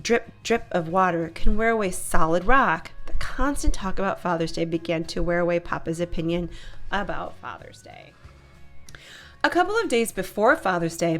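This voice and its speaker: A woman narrating a children's picture book as a read-aloud storyteller. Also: fairly low pitch. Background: music.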